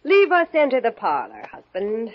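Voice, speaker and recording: An actress playing a loving, soothing new bride in a theatrical old radio drama style, on a noisy vintage recording. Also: female voice, fairly high pitch, huge pitch swings, normal speed, no room sound, muffled recording. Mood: bright, playful. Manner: tender, theatrical endearments. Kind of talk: 1940s radio drama acting.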